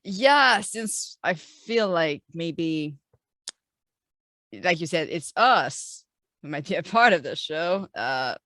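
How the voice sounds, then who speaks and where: Woman, slightly low voice, dry close sound, casual game player, halting, thinking out loud. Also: lively intonation, no room sound. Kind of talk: casual improvised game discussion.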